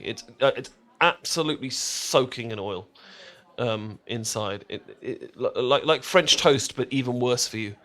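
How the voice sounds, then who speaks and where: A man's voice: medium pitch, fairly bright tone, lightly acted. A man playing an affectionate, tea-loving English boyfriend in a romantic roleplay audio, talking in a hesitant, stammering, enthusiastic way with a British accent. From England.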